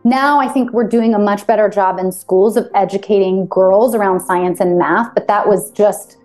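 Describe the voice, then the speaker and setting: A woman hosting a casual interview, talking animatedly with quick asides and frequent affirmations. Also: medium pitch, steady tone, darkish tone, faint music behind. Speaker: podcast host.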